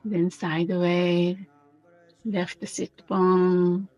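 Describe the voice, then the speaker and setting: A woman teaching a guided meditation in a gentle, unhurried manner, pausing between short phrases. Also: on the low side, little pitch movement, dry recording.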